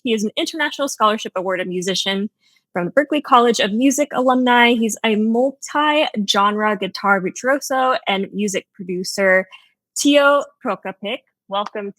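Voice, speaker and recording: A woman's voice: medium pitch, lively intonation, moderate pace, a bit bright, no room sound. A woman hosting a conversational podcast interview, speaking in a casual, enthusiastic way. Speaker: podcast host.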